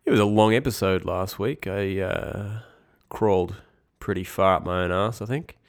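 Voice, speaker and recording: Low-voiced stuffy-nosed man hosting a podcast. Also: moderate pace. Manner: rambling, self-correcting, wry asides. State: congested with a cold. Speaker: podcast host. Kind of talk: casual esports news podcast.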